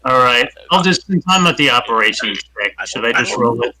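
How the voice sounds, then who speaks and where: A man's voice: medium pitch, lively intonation, brisk, pushed and bright, poor audio. A man playing a tabletop game, asking questions and restarting his phrases often. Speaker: player. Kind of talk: casual tabletop play.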